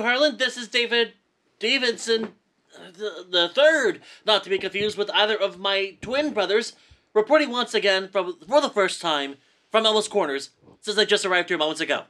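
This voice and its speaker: A woman's voice: medium pitch, animated, normal speed, a bit bright, lightly acted. A woman playing a hesitant, flustered male news reporter in a comic radio play, stammering through polite, formal reports. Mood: nervous, formal.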